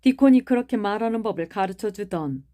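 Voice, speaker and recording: A woman's voice: medium pitch, lively intonation, a little fast, warm tone, pitched above the performer's own voice, close to an everyday voice. A woman voicing a timid, hesitant middle-aged male doctor in an audiobook. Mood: cautious. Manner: hesitant, mild. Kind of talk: audiobook character reading.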